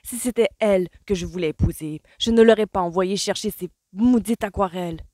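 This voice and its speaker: A hot-tempered young gentleman barks his lines in forceful outbursts, as a woman reading the book puts him on. The sound is dry and close. It is a storybook voice with raised, sharp delivery. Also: deep voice, normal speed, dry recording. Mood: angry.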